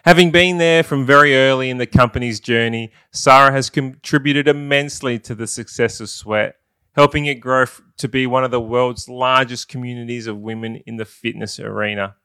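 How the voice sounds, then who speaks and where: A man hosting a podcast, reading an upbeat intro and then asking relaxed interview questions. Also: medium pitch, normal speed, smooth, no room sound. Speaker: podcast host.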